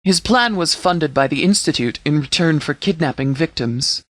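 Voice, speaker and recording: A man playing a stern sheriff in a video game, giving formal, measured briefings with authority. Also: a little high, lively intonation, fairly bright tone, dry recording. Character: stern, formal sheriff commanding a post-apocalyptic settlement militia. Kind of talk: scripted video game dialogue, military briefing.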